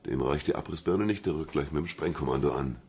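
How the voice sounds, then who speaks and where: Radio crime drama: wry detective, extremely deep, husky, animated delivery. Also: male voice, fast talker, exaggerated voice. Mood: sardonic. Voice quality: gravelly, husky. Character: wry, hunch-driven male police investigator.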